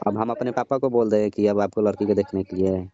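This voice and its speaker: A man's voice: on the low side, steady tone, quick pace, crowd noise, lightly acted. A man acting a cocky young married son-in-law in a staged comic phone call, asking blunt, needling questions. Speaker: comedy call actor. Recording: dull lo-fi phone call recording.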